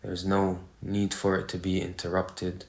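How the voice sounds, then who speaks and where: A man leading a guided meditation, speaking in short phrases with pauses between them. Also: deep voice, completely flat delivery, a little mellow. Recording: roomy, echoey sound.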